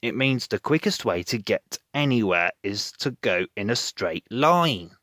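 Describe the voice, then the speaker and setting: Storybook farmer acted by a man: unhurried, plain-speaking, instructive, dry recording. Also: lowish voice, expressive, a bit bright, no echo, pitched below the performer's own voice. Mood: matter-of-fact. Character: matter-of-fact male farmer, plain and instructive. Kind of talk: children's storybook character voice.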